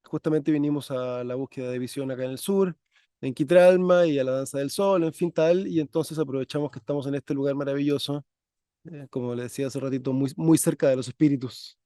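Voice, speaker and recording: A man's voice: mid-range voice, a little fast, smooth, no echo, clean studio sound. A man hosting a podcast interview in a loose, chatty way. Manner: warm, chatty, many fillers and restarts. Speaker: podcast host. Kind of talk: conversational interview. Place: parents' country house.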